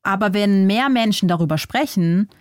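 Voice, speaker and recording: A young German-born woman author whose first language is Vietnamese, speaking reflectively in a relaxed interview and thinking aloud with small restarts. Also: mid-range voice, normal speed, dry recording, clean studio sound. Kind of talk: casual interview talk.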